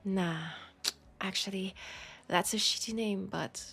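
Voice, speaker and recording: A woman with a French accent voicing a centuries-old, wry and world-weary female vampire in an intimate audio roleplay, softly and breathily. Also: mid-range voice, slow, soft background music, heavily acted. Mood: teasing, amused. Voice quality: breathy, soft, slipping into whispers.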